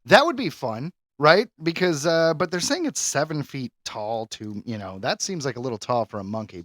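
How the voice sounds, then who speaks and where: A man co-hosting a podcast in casual, joking chat. His pitch swings widely as he tells animated stories. The sound is dry and close, with no room echo. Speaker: podcast co-host.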